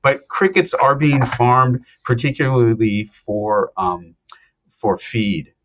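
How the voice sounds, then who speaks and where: A male professor from Ohio, answering interview questions in a relaxed, explanatory way, pausing often with ums. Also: mid-range voice, highs cut off. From Ohio, United States. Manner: explanatory, hesitant with many ums. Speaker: anthropology professor, guest. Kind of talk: conversational interview.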